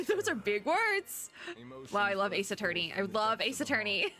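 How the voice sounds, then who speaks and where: A woman's voice: medium pitch, sing-song, moderate pace, sharp tone. A woman hosting a casual gaming reaction video, chatty and rambling, with playful exasperation. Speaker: reaction video host and VTuber. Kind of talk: casual reaction chat to gamers. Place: indoors at a home computer setup.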